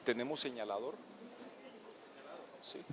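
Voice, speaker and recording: A middle-aged man from the Colombian Caribbean coast, with a coastal accent mixed with Medellín's, giving a halting lecture to an auditorium with many fillers. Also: medium pitch, noisy background. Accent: Colombian, coastal mixed with Medellín paisa. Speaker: speaker at a lecture. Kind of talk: lecture to an audience.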